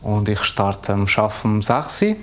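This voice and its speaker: A man in his thirties, a postal worker, speaking simple halting as an in a documentary interview. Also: lowish voice, animated. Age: 30s. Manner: simple halting German, laughs often.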